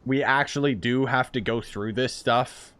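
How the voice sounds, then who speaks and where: A man's voice: medium pitch, steady tone, unhurried, a bit bright, clear, resonant tone. A man giving casual, rambling gameplay commentary in an informal way. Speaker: gaming YouTuber. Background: game music.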